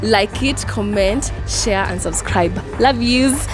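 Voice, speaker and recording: A young woman with a Kenyan accent giving a casual, friendly shout-out into a handheld mic. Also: medium pitch, slow pace. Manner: casual friendly greeting to camera. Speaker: guest giving a channel shout-out. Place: indoor event backdrop. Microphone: handheld branded mic. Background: music.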